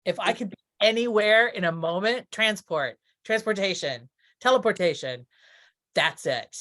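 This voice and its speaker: A woman's voice: mid-range voice, expressive, moderate pace, a bit bright. A middle-aged woman from Texas giving casual interview answers, thinking aloud and correcting herself as she goes. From Texas, United States. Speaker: interview guest, theatre producer.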